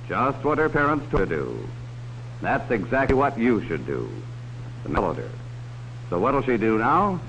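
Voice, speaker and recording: Cautionary male narrator, 1950s educational film, folksy direct address, mid-pitched, dull slightly noisy old recording. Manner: folksy, direct address to children with leading questions. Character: cautionary male adult narrator warning children about strangers. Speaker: narrator of a children's safety film.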